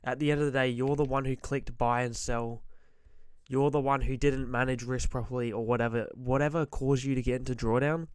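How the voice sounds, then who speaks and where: A man who is a bit sick and slightly hoarse, giving blunt, emphatic trading-psychology advice and clearing his throat often. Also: medium pitch, steady tone, fairly quick, no echo. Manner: direct, emphatic, repeats key points. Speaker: forex trader giving advice. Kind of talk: motivational trading-psychology talk to camera.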